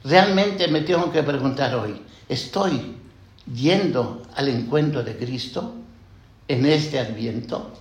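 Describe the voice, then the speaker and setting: A man preaching a homily as a priest, reflective and unhurried, often pausing with "eh" and asking questions of the congregation. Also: medium pitch, lively intonation, reverberant. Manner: slow, reflective, with many "eh" fillers and questions to the congregation. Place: church.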